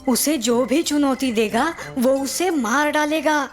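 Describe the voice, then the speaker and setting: A woman voicing a clever young village man in a cartoon, a calm trickster who talks politely and persuasively. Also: sits high, slow, soft background music. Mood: earnest, warning. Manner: polite, persuasive, mock-respectful. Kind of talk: Hindi cartoon story acting.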